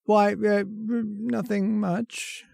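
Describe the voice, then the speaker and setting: A man's voice: high-pitched, flat delivery, very slow, vocal fry, darkish tone, no room sound, exaggerated voice. A man playing a nervous, henpecked, long-lived husband of a witch in a radio horror drama, given to wistful storytelling and flustered stammering. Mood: nervous, evasive. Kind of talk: old-time radio horror narration and acting.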